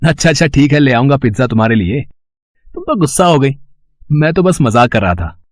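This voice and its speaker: A man's voice: medium pitch, expressive, brisk, no room sound, natural voice. A man playing an affectionate, teasing grown son in a story, with a playful, casual manner. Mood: placating.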